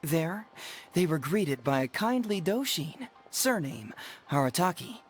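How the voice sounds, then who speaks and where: A woman voicing a formal, measured storytelling narrator of a staged play in a video game, slightly wry. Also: low-pitched, very animated, soft background music.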